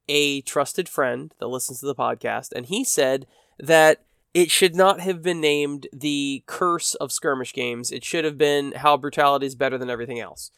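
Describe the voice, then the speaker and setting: A man's voice: on the high side, a bit bright, smooth, no echo, clean studio sound. A man hosting a casual solo podcast, chatting in a rambling, self-deprecating way while sounding a bit stuffy from allergies. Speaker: podcast host.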